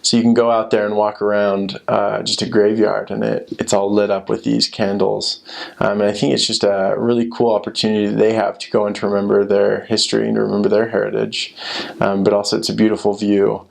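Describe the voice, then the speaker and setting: A man's voice: lowish voice, monotone, brisk, vocal fry. A young American man chatting casually and informally about his experiences in a rambling way, full of filler words. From United States. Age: young adult. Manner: rambling, informal, frequent "like" and "you know". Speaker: former missionary sharing experiences. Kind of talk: casual chat.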